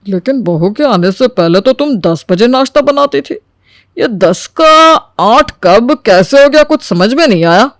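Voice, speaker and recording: A man's voice: very high voice, lively intonation, a little slow, exaggerated voice. A man acts a henpecked older father-in-law in a cartoon moral tale. He is timid and placating, with a mildly teasing way of talking. The sound is dry and free of room echo. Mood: puzzled, teasing. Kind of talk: Hindi animated moral story acting.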